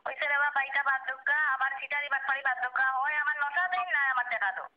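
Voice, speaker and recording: A woman's voice: high voice, level tone, rapid-fire, faint music behind, close to an everyday voice. A woman with a Sylheti accent playing a pushy, quarrelsome mother in a prank phone call, insistent and accusing. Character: pushy, quarrelsome mother demanding her money back. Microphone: telephone. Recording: muffled lo-fi phone line.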